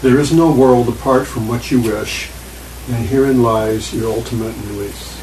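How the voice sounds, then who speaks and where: A man reads a spiritual passage aloud at a measured, even pace. He is well away from the mic, so he sounds distant and roomy, with clear background noise and rain. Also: medium pitch. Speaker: reader in study group. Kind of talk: reading aloud from a spiritual book. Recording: distant, echoey, noisy.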